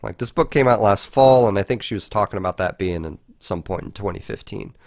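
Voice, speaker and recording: Low voiced guy podcast host, casual chat, swears a lot, dry close sound. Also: a little fast, gravelly, dry recording. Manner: frequently swearing, informal.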